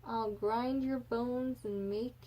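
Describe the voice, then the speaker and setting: A woman voicing a hideous hairy male giant in a children's rhyme, loud and threatening. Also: on the high side, fairly even intonation, takes it slowly, highs cut off.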